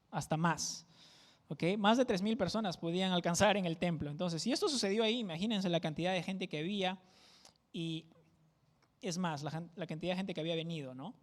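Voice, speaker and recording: High-voiced man with Peruvian jungle accent. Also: long reverb tail. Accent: Peruvian jungle (selva) Spanish. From Peru. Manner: conversational, keeps checking in with tag questions. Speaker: pastor teaching a Bible study. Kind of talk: verse-by-verse Bible teaching sermon.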